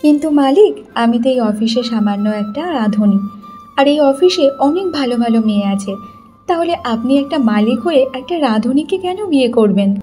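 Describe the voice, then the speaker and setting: A woman's voice: sits high, lively intonation, moderate pace, dark tone, faint music behind. A woman playing a wronged young housemaid wife in a cartoon story, emotional and pleading, tearful yet defiant. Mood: hesitant, humble. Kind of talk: Bengali cartoon story acting.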